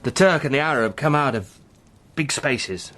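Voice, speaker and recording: An actor playing an earnest, impassioned British gentleman spy with a literary turn of speech in a radio drama. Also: mid-range voice, animated, normal speed, natural voice. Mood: intense, awed. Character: Sandy, fervent eloquent British gentleman spy.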